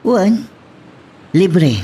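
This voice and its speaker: A man's voice: high voice, very animated, extremely slow, heavily acted. An actor playing a cheeky, eager suitor who drives a tricycle and pleads his case in a serial drama. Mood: breezy, teasing. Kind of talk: radio-style serial drama acting. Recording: faint background noise.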